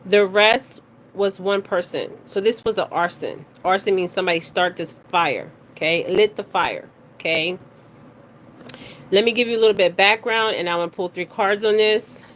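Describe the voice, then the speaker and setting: A woman hosting a casual spiritual reading, speaking haltingly, stumbling over words and often checking in with her listeners. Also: medium pitch, a bit bright. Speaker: spiritual reader and card reader hosting her own video. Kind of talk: casual psychic reading, reading news details aloud. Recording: clearly noisy recording with background ambience.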